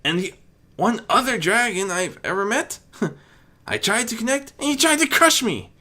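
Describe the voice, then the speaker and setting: Man voicing a high-pitched, emotional young dragon outcast. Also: very animated, normal speed, bright, forceful tone, heavily acted. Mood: bitter, hurt. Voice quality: high, strained voice. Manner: plaintive, emotional outbursts. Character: young male dragon, insecure, hot-tempered outcast.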